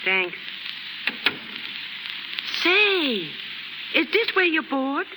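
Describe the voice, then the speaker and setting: A woman's voice: high voice, sing-song, very slow, heavily acted. An actress playing a scruffy, wary young slum girl with slangy American street speech in a 1930s radio drama. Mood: tired, plain-spoken. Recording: noisy, reverberant 1930s radio recording.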